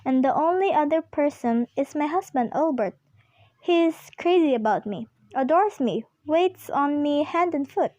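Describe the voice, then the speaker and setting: Young woman acting a high-voiced, fretful invalid wife. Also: lively intonation, measured pace, warm tone, dry recording. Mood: anxious, pleading. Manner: fretful, complaining, imperious. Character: nervous, demanding, self-pitying invalid wife alone in bed. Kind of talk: amateur school radio play acting.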